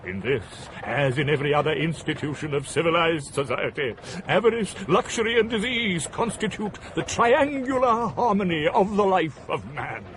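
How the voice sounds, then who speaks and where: An actor playing a misanthropic gentleman philosopher in a period radio drama, declaiming with a British accent in vehement, grandiloquent rhetoric. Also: on the high side, sing-song, normal speed, a little hiss or hum, poor audio. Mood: ranting, bitter.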